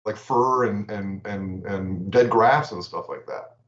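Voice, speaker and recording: Low-voiced man in an echoey room. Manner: halting, repeats words, reads out stats. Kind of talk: casual livestream chat. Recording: echoey room, dull lo-fi audio.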